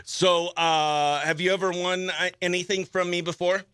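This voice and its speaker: A man's voice: high-pitched, fairly even intonation, normal speed, fairly bright tone, clear, resonant tone, dry recording. A man hosting a radio morning show, chatting casually and upbeat with informal slang as he runs a contest. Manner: informal slangy, exclaims dude and oh heck. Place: small radio studio, close dry mic.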